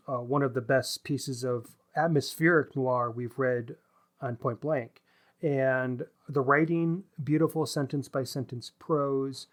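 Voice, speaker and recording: A man co-hosting a book podcast, discussing writing analytically in measured, considered phrases. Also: mid-range voice. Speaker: podcast co-host.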